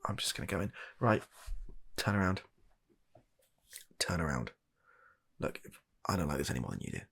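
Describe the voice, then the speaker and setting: Gravelly, low-voiced British man, deadpan and exasperated. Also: normal speed. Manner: exasperated, hesitant, awkwardly deadpan. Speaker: comedy ASMR performer playing a doctor. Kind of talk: comedic medical roleplay ASMR.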